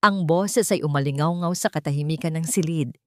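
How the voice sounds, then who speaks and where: A woman narrating a scripted romance story in a measured, sentimental, dramatic way. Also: low-pitched, warm tone, no room sound, clean studio sound.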